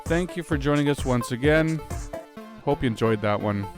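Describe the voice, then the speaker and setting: A man's voice: mid-range voice, expressive, normal speed. A man hosting a podcast, giving a casual outro. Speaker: podcast host giving sign-off. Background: music.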